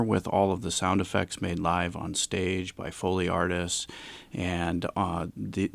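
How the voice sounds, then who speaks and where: A man speaking as an interview guest, explaining in a measured, earnest way like a director presenting a production. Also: low voice, vocal fry, no echo.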